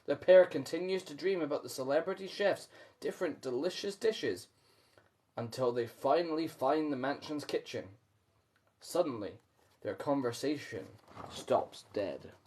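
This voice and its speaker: A man's voice: on the high side, animated, unhurried, darkish tone, husky. Man hosting an interactive storytime. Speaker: storytime host and reader. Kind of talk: live storybook reading with chatty asides.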